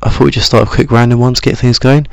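Lower-voiced British man hosting a podcast. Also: steady tone, fast, vocal fry, a little mellow, a little hiss or hum. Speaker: podcast host. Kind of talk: casual banter.

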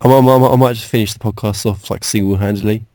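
A man's voice: on the low side, steady tone, fast, dark tone, no echo, studio quality. A British man hosting a podcast in a casual, bantering way. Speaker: podcast host.

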